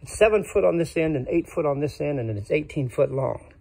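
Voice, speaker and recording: A man's voice: a little high, expressive, brisk, vocal fry, darkish tone, breathy edge, no echo, rough recording. An older man casually showing his cabin outdoors in the woods, rambling in a folksy way with many fillers, recorded on a handheld phone. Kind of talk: casual walkthrough chat.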